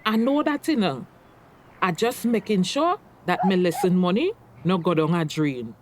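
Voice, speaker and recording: An actress playing a sharp-tongued but caring mother in a radio drama, with a Tobagonian accent and expressive, admonishing dialect. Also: lowish voice, moderate pace, a little hiss or hum, natural voice. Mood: wry.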